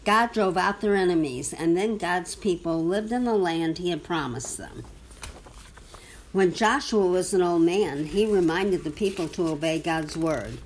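Slow-paced woman reading a children's storybook. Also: fairly low pitch, slight background noise, poor audio. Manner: simple, deliberate phrasing with questions to the listening child. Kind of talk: read-aloud children's Bible storybook with asides to a child.

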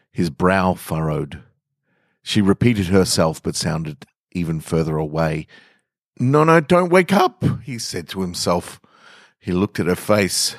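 A man narrating short fiction in a dramatic, measured and deliberate way with weighty pauses. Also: extremely low pitch, breathy edge, no echo, studio quality. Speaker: storyteller reading short fiction on a podcast.

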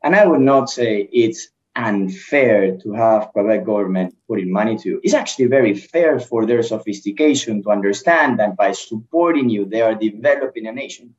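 A man's voice: on the low side, animated, normal speed, hardly any pauses, highs cut off. A man from Peru speaking on a panel as a mining executive, earnest and emphatic. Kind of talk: panel discussion.

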